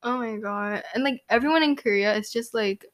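Young woman from New York, a bit high-pitched, easygoing podcast co-host. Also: brisk. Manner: relaxed, reactive, frequent exclamations. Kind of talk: casual chat.

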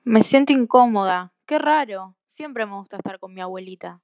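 A woman's voice: mid-range voice, expressive, moderate pace, darkish tone, no room sound, clean studio sound, close to an everyday voice. A woman playing an innocent, polite young girl in a fairy tale radio play. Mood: uneasy, puzzled. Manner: naive, polite. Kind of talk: school radio play acting.

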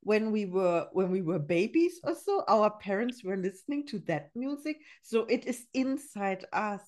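A woman's voice: mid-range voice, expressive, moderate pace, a little mellow. German lady in her 40s hosting a podcast in english, earnest, repeats words a lot. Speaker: podcast host. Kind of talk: casual interview.

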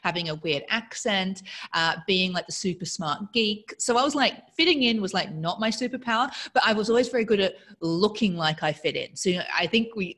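A woman with a slightly low voice talks through her interview answers at a thoughtful, easy pace. She grew up in Australia, and she speaks fluently while often slipping "like" into her sentences. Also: fairly bright tone. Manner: reflective, fluent, frequent "like" fillers. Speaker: guest speaker.

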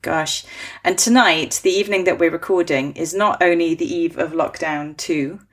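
Brief: woman podcast host, casual and playful, fond of corny jokes, a touch low-pitched. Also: moderate pace. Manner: chatty, corny jokes, laughs easily. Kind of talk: casual conversational interview.

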